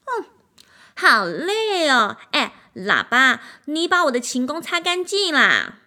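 Brief: haughty violin for a kids' storybook, voiced by a woman, high and lively. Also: slow pace, exaggerated voice. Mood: bossy. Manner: haughty, whiny and demanding. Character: proud bossy jealous violin, male.

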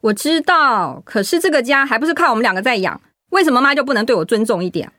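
A woman's voice: fairly high pitch, animated, quick pace, pushed and bright, dry recording, clean studio sound. A woman acting in a radio drama as a discontented wife, complaining and insistent. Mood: aggrieved complaining. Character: discontented, nagging young wife at end of patience.

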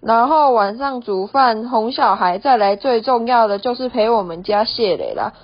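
A woman's voice: a little high, moderate pace, husky. A young woman with a Taiwanese accent voicing a bossy, scolding middle-aged mother-in-law in an amateur radio play, nagging as she switches between Hokkien and Mandarin. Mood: nagging, disdainful. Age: young adult. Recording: dull, lo-fi, roomy home recording.

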